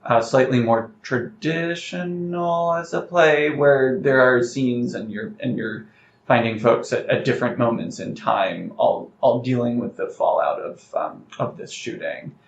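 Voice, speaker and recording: A man's voice: medium pitch, a little slow, gravelly. Reflective man in a roomy, muffled recording. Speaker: playwright being interviewed. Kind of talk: thoughtful interview conversation. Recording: roomy, slightly muffled, noticeable background noise.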